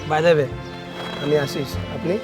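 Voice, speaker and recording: A man's voice: fairly high pitch, fairly even intonation, slow pace. An actor playing an earnest young guitarist who is proud and touchy about his music, in a short film drama. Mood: reserved, teasing. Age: young adult. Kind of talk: acted dialogue in a Bengali short film.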